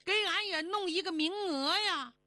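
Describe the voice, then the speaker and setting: A woman's voice: very high voice, moderate pace, sharp tone, dry recording, pitched above the performer's own voice. A woman voicing an eager little pet rabbit in a folk tale, pleading and wheedling. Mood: nagging, pleading.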